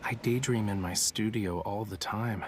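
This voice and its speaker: A man's voice: lowish voice, normal speed, a little hiss or hum, natural voice. An actor playing a playful, dreamy young male artist in a romance game, speaking in a casual, teasing way. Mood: dreamy, relaxed. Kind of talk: voiced dialogue in a romance game.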